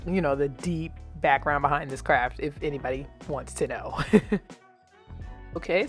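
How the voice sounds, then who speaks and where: An American woman presenting a children's craft tutorial in a chatty, informal, encouraging way. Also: low-pitched.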